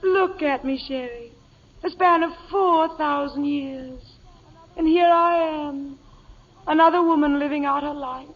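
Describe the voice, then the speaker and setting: An actress playing a vain, self-dramatizing glamour star, affected and gushing, in 1940s radio comedy style. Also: female voice, high-pitched, a little slow. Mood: melodramatic. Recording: muffled old radio broadcast.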